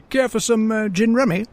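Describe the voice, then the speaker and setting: A man's voice: high voice, exaggerated voice. A man playing a genial, philosophical hunting companion with a superstitious unease, in old-time radio drama style.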